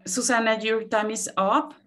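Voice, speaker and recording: A woman's voice: a little high, fairly even intonation, fairly bright tone. A young woman moderating a panel on a video call, keeping time and asking questions. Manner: unhurried, timekeeping and posing questions. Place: indoor room.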